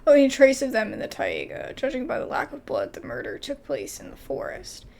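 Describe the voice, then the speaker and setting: A woman's voice: high voice, wildly swooping pitch, brisk, gravelly, darkish tone. A woman doing casual video game commentary and reading dialogue aloud, with informal, exclamatory asides.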